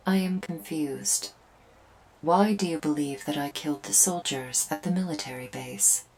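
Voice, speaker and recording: A woman's voice: low voice, normal speed, a little mellow, soft background music, lightly acted, poor audio. A woman playing a remorseful alien invader in an ASMR roleplay, speaking in formal, precise, stilted phrases. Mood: earnest, apologetic. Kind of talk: scripted ASMR roleplay to the listener.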